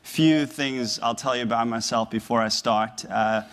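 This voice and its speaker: A man in his thirties from South Africa, with a South African accent softened by years in America, giving a conversational, halting public talk to a university audience in a lecture room. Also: medium pitch, fairly even intonation. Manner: conversational, halting, often repeating words. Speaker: guest speaker.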